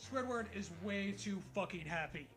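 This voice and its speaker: High-voiced man reading aloud, music underneath. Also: expressive, normal speed, fairly bright tone. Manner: sweary, mocking. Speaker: co-host reading a story aloud. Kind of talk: casual comedic read-along with commentary. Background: background music.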